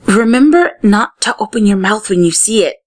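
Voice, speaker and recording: A woman's voice: medium pitch, animated, moderate pace, pitched above the performer's own voice, close to an everyday voice. A woman voicing a confident, instructive young sea child guide in a storybook read-aloud. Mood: cheery, brisk.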